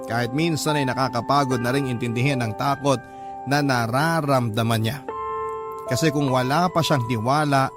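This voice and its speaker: A man's voice: mid-range voice, lightly acted. A man playing a sincere young man who narrates his love story in a reflective first person, as in a radio drama.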